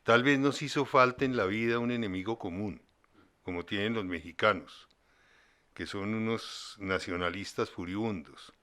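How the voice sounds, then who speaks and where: Older man, bright forceful low voice. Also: normal speed. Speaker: interviewee, son of a playwright. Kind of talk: reflective interview commentary.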